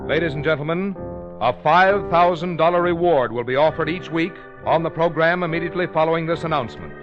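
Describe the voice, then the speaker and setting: American male network announcer, fairly high voice, formal delivery, music underneath, muffled vintage radio. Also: a little fast. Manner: formal, declamatory. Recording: muffled old radio recording.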